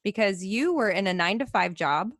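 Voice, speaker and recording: A woman's voice: mid-range voice, animated, brisk, a bit bright, no room sound, studio quality. A woman hosting a podcast, chatty and enthusiastic, swearing casually as she responds to her guest. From United States. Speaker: podcast host.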